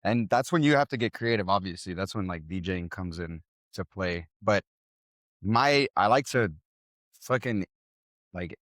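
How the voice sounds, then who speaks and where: A man's voice: deep voice, normal speed, pauses often, dry recording, studio quality. Laid-back young man, rambling casual chat. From South Texas. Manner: relaxed and rambling, fills with "like" and "bro". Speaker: DJ guest. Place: barbershop.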